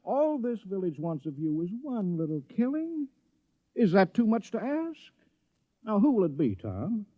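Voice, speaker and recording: An actor playing a fussy, bossy small-town mayor with a folksy, insistent manner in an old radio drama. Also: male voice, high voice, huge pitch swings, measured pace, no echo, exaggerated voice. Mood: insistent, exasperated. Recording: dull, dry vintage radio recording.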